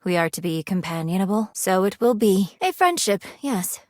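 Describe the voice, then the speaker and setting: A woman's voice: medium pitch, lively intonation, normal speed, a little mellow, dry recording, lightly acted. Woman acting prim, formal young game heroine, dry studio sound. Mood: thoughtful. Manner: formal, precise wording with few contractions. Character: prim, earnest, thrifty young woman, living money personified, game dating character. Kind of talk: video game character dialogue.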